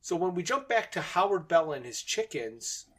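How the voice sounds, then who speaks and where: A man's voice: sits high, big pitch swings, moderate pace, fairly bright tone. A man co-hosting a podcast, retelling a story step by step in conversation and often chuckling. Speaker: podcast host recapping a radio drama. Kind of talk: episode recap in conversation.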